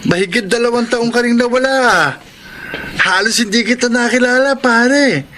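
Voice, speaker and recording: An actor with a Filipino accent playing a cynical, jeering drug-addict pal in a radio drama. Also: male voice, extremely high pitch, normal speed, sharp tone, faint music behind. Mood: surprised, teasing.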